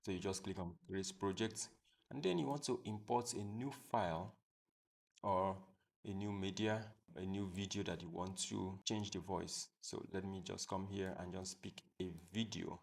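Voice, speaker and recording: A man hosting a software tutorial, explaining step by step in a plain, repetitive way. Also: sits low, steady tone, normal speed, gravelly. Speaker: tutorial host. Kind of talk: screen-recorded software tutorial.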